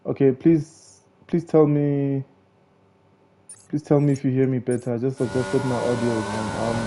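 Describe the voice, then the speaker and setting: A man's voice: mid-range voice, normal speed, a little hiss or hum. Man calling a sim race live. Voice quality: says he has a loud voice. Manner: excitable, chatty, talks to chat viewers. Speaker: sim racing commentator. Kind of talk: live stream race commentary. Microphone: home streaming mic.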